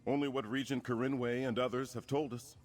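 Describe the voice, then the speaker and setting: An actor playing a grave, resolute military leader in a fantasy game, speaking formally and earnestly with a commanding manner. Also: male voice, medium pitch, flat delivery, brisk, lightly acted. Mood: grave, informative. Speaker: quest-giving game character. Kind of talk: scripted fantasy game dialogue. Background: music.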